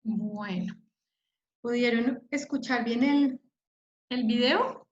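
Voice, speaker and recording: A woman leads a live online training session, explaining her points step by step and often turning to ask her listeners questions. Her voice is a bit higher than most women's. The sound is roomy and echoey, with dull, lo-fi audio from a computer mic on a video call. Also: slow pace. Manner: explanatory, checks in with the audience, some fillers. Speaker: webinar presenter.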